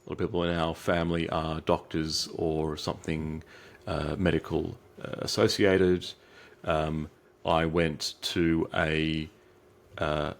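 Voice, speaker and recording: Low-voiced Australian man. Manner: reflective, many restarts and fillers. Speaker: guest, psychiatric trainee. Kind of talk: interview answers.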